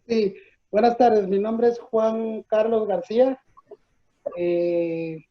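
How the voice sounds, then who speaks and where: A man's voice: sits high, fairly even intonation, takes it slowly. Man introducing himself, dull audio. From Guatemala. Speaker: class participant. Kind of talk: informal self-introduction.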